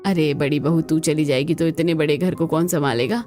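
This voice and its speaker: A woman voicing a warm, approving mother-in-law in a family cartoon, with an affectionate, coaxing manner. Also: deep voice, fairly quick, faint music behind, close to an everyday voice. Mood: concerned. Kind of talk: Hindi family cartoon voice acting.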